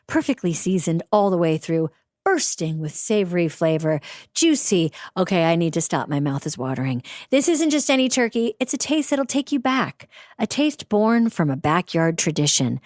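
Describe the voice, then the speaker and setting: A woman's voice: mid-range voice, no room sound, clean studio sound. An American woman reading a conversational advertisement script in a savoring, playful manner.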